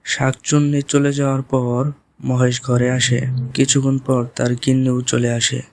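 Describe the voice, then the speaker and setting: A man's voice: mid-range voice, flat delivery, moderate pace, no echo. A man narrating a scripted cartoon story. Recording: loud music under the voice.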